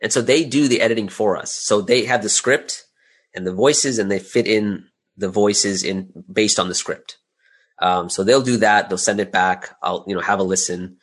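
A man's voice: on the low side, normal speed, slightly rough. A middle-aged man talking casually as an interview guest, in a chatty way peppered with "you know" and "right?". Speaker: guest, audio drama creator.

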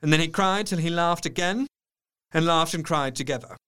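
An actor playing a sober, observant doctor who narrates his diary in a measured, reflective way in an audio drama. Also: a little high, pushed and bright, clear, resonant tone. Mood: sombre reflection. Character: thoughtful, sober English doctor, loyal and observant.

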